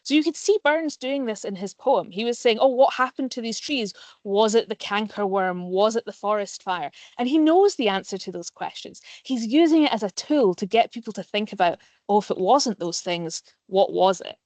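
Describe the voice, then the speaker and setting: A woman's voice: medium pitch, lively intonation, normal speed, a little mellow, dry recording. A woman giving a live online nature lesson to pupils, explaining in a friendly way with frequent ums. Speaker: nature educator. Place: plant nursery.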